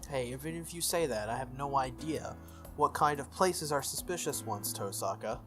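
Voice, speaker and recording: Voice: medium pitch, lively intonation, moderate pace, natural voice. A reader acts out an earnest teenage schoolboy hero from a visual novel. He is blunt and a little reluctant, puzzled and grumbling, over background music. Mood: baffled. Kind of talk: visual novel dialogue read aloud. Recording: music under the voice.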